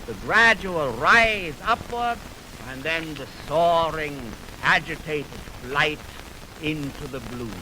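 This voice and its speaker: A man hosting a 1920s radio music program, lecturing in a formal, oratorical, measured way on a crackly old broadcast recording. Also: on the high side. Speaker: radio host introducing orchestral music. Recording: noisy, crackly 1920s optical film radio aircheck.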